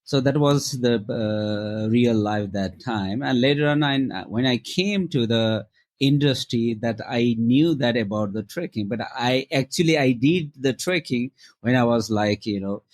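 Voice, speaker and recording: A middle-aged man from Nepal with a Nepali accent, answering interview questions in a halting way with many fillers and restarts. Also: mid-range voice. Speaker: guest, travel company founder.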